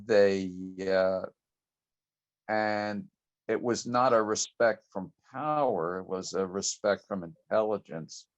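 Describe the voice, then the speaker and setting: A man reminiscing casually on a group video call, speaking in halting, hesitant phrases. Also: on the low side. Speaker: longtime convention committee member. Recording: dull lo-fi video call audio.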